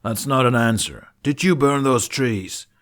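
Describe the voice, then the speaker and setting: A man's voice: medium pitch, measured pace, a bit bright, lightly acted. A man voicing a stern, humorless knight commander who questions demandingly in an audiobook. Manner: demanding, interrogating.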